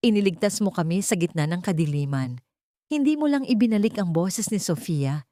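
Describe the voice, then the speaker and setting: A woman's voice: lowish voice, expressive, moderate pace, dark tone, dry recording, studio quality, close to an everyday voice. A woman voicing a tender, grateful father in a storybook drama. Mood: tender gratitude.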